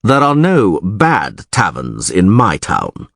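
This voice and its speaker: A male narrator puts on a blustering fantasy town mayor, bossy and exasperated. The pitch often jumps high and swings widely, in a dry storybook recording. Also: wildly swooping pitch, normal speed, fairly bright tone, slightly rough, no echo, exaggerated voice. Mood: stern, scornful.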